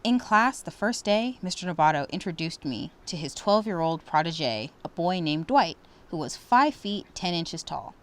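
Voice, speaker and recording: Animated woman reading a children's story. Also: on the low side, moderate pace, few pauses. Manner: animated, dramatic. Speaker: storybook reader. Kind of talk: expressive children's read-aloud.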